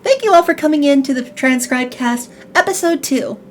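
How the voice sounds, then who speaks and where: A woman's voice: high-pitched, animated, moderate pace, a little hiss or hum. A woman host with a slight Chicago accent, chatting animatedly on an online talk show, often restarting her words. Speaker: podcast host. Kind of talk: casual online chat show.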